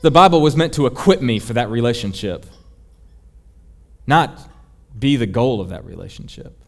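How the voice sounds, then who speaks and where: A man's voice: mid-range voice, expressive, moderate pace, gravelly. A man preaching a sermon in an emphatic, rhetorical and confessional manner. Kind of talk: sermon, teaching.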